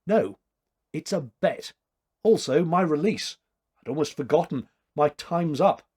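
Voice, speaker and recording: A man's voice: a little high, lively intonation, measured pace, a little mellow, husky, voice pushed up. A man voicing a gaunt, resigned working man in a story, speaking tersely. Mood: matter-of-fact.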